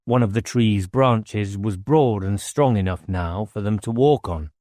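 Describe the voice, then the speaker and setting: A man's voice: fairly low pitch, unhurried, warm tone, no echo, clean studio sound. A man reading a novel aloud as scripted audiobook narration.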